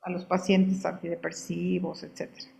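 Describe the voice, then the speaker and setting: An older woman from Guatemala, a guest in an interview, telling her life story in an unhurried, conversational way and often repeating words as she thinks. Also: lowish voice, monotone, creaky, mellow.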